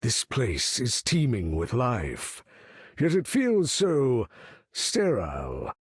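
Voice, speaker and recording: An actor playing a proud, menacing mutant leader in a video game, speaking in a measured, theatrical, grandiose way with a husky voice. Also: male voice, mid-range voice, huge pitch swings, no room sound, clean studio sound, full character voice. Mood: commanding, grave. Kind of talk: video game character voice lines.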